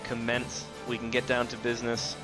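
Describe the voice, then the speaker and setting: A man's voice: medium pitch, monotone, normal speed. A man of thirty chatting casually and ramblingly to viewers as he livestreams a video game, with a self-deprecating streak. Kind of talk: casual live gaming commentary, chatting to viewers. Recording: music behind the voice. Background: loud game music.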